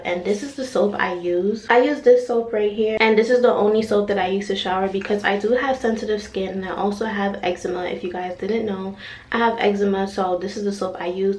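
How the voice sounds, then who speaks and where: A woman of nineteen from New York, vlogging her morning routine at home in a relaxed, rambling way, her voice raspy from just waking up. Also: mid-range voice. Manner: chatty, drawn-out, filler-heavy talk. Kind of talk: casual morning-routine vlog. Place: at home, bedroom and bathroom.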